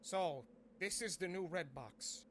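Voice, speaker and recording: A man voicing an excitable young cartoon sidekick in an improvised comedy dub, music behind him. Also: high-pitched, slow pace, slight background noise. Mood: casual, amazed.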